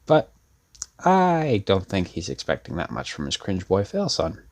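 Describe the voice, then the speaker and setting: Casting: male actor for a deep-voiced, laid-back young male rebel, self-deprecating and wry, rambling delivery, roleplay audio drama. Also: wildly swooping pitch, unhurried, heavily acted. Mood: wry, relieved. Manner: rambling, wry, sardonic, casual.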